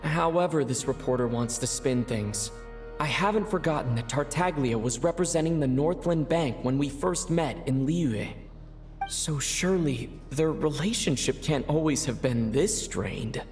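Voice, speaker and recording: A man's voice: mid-range voice, animated, a little slow, darkish tone, faint music behind. A man voicing a reflective, reserved onlooker in a video game, speaking thoughtfully. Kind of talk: video game character acting.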